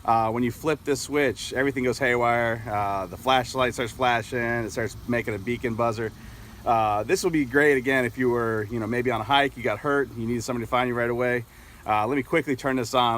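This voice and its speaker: A man's voice: mid-range voice, steady tone, fairly quick, a bit bright, slight background noise. A middle-aged American man talking casually and a little hesitantly as he explains a product outdoors. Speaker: product reviewer. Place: outdoors in an open grassy yard with trees.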